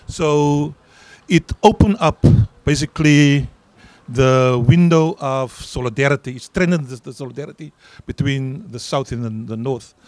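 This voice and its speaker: Middle-aged man, Afrikaans accent, union leader, emphatic, medium pitch. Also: unhurried, a little mellow, dry recording. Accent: South African Afrikaans. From South Africa. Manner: earnest, emphatic, repeats words when stressing a point. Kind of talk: interview answers at a public event. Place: outdoor garden event. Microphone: handheld mic.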